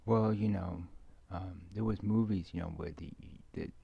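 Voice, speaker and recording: Low, gravelly man rambling hesitantly. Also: fairly even intonation, no room sound. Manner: hesitant, meandering, halting delivery with many fillers. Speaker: ASMR video maker. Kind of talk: unscripted casual ASMR rambling. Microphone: microphone moved around beside a keyboard. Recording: muffled home recording with background noise.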